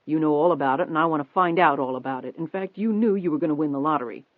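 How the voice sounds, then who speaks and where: Guy doing a confident nosy reporter man, pressing hard, audiobook, higher-ish voice, sounds like a phone line. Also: fast talker, dry recording, lightly acted. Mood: accusing. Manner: insistent, steady, probing. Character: pushy, persistent male newspaper reporter, coaxing and confident. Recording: thin phone-like band-limited audio.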